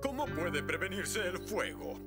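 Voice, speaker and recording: A man's voice: high-pitched, sing-song, a little slow, creaky, heavily acted. A man voicing a stern middle-aged fire chief in a cartoon, grave and emphatic in an official way. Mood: quizzing. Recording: loud music behind.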